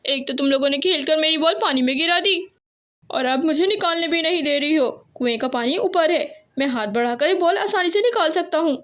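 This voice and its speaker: A very high, whiny little crow boy from a cartoon fable, acted by a woman. He is stubborn and easily scared, and he whines shrilly. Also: expressive, a little fast, fairly bright tone. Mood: angry. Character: young male crow child, stubborn and easily frightened.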